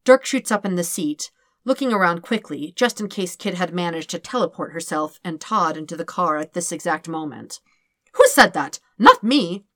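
A woman's voice: lowish voice, normal speed. A woman reading a story aloud as scripted narration for an amateur fiction audiobook. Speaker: podfic reader.

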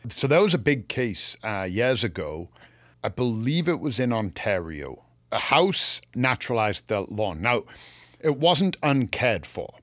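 A man's voice: mid-range voice, sing-song, a little slow, a bit bright, dry recording. A man from England co-hosting a gardening show, chatting casually and opinionatedly, telling stories with acted-out quotes.

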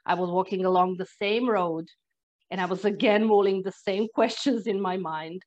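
A woman's voice: medium pitch, steady tone, normal speed, a little mellow. A Croatian woman with an accent, a non-native English speaker, telling personal stories in a reflective, earnest way as an energy therapist guest. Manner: reflective, earnest, with sighs and restarts. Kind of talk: personal storytelling in conversation. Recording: dull lo-fi sound.

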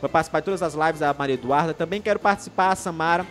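Fast-talking Maranhão man hosting live. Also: a little high, faint music behind. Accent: Maranhão Brazilian Portuguese. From Maranhão, Brazil. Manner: rambling, many restarts, chatty and upbeat. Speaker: live stream host. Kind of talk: casual live show chat reading comments.

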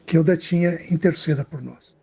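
A man, a bishop, giving a solemn and measured recorded message. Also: on the high side, creaky, a little mellow, slightly rough.

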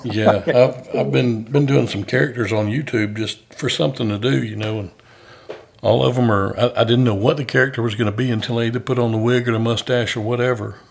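A man's voice: mid-range voice, steady tone, brisk, gravelly. A man who does stand-up comedy, hosting a casual podcast chat with dry jokes. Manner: joking, dry wisecracks.

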